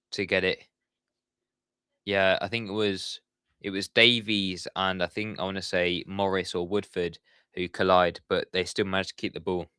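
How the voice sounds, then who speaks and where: Deep-voiced man calling football live. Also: bright, forceful tone, smooth, dry recording, clean studio sound. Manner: chatty, talks to viewers in the chat. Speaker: watchalong streamer. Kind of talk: live football commentary on a stream.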